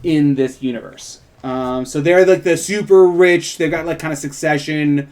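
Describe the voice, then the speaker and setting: Higher-voiced man in rambling, casual discussion. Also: normal speed, darkish tone. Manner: rambling, hedging with frequent "like" and "kind of". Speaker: co-host and writer introducing a script read.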